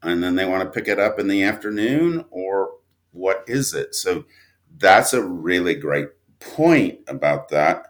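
A man with a very deep voice talks at a very unhurried pace. He is an interview guest thinking out loud, casual and reflective. His delivery rambles and has a modest, self-deprecating streak. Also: lively intonation. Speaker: interview guest, audio drama writer and producer.

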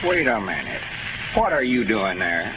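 An actor playing a bossy, easily exasperated rustic storekeeper with a rural Southern accent, folksy and fussy, in 1930s radio comedy. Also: male voice, lowish voice, huge pitch swings, measured pace. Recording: scratchy, tinny 1930s radio broadcast recording.

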